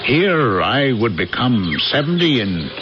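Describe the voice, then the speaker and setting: An actor playing an anxious, frustrated man in his thirties in a 1980s radio drama, hesitant, stammering and excitable. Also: mid-range voice, unhurried. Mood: anxious, apologetic. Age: 30s. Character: anxious, frustrated 35-year-old everyman worker mistaken for a god. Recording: dull old radio recording, noisy birdsong ambience.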